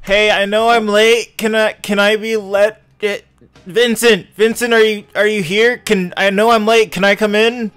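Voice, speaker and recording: A man's voice: high voice, moderate pace, faint music behind, pitched above the performer's own voice. A man playing a brash, flustered male vampire in a tabletop roleplay, stammering and repeating himself apologetically. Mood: loud, flustered. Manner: stammering, repetitive, excitable.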